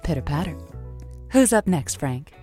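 A woman's voice: low voice, big pitch swings, normal speed, warm tone, husky, soft background music, heavily acted. A woman playing a chatty, folksy and somewhat hesitant advice host in an improvised radio drama. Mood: upbeat on-air. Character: chatty female advice-show host, encouraging yet easily needled. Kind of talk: semi-improvised audio drama as radio show.

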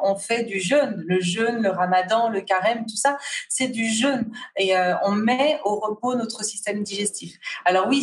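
A woman, the guest, chatting about cooking and fasting with many fillers and restarts. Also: medium pitch, roomy sound, recorded from a distance. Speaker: guest, fasting retreat organizer. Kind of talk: casual conversation.

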